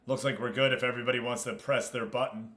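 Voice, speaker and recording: A man's voice: mid-range voice, little pitch movement, quick pace, a bit bright. Chatty American man livestreaming. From United States. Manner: chatty, hops between addressing viewers and explaining. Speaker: live streamer and host. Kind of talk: casual livestream chat with game commentary.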